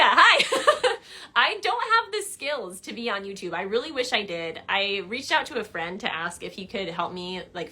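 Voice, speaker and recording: A young woman answering viewer questions in a casual livestream chat from her living room couch, animated and chatty. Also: on the low side, slight background noise. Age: young adult. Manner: chatty and animated, gestures a lot, laughs while telling stories. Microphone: phone camera at arm's length.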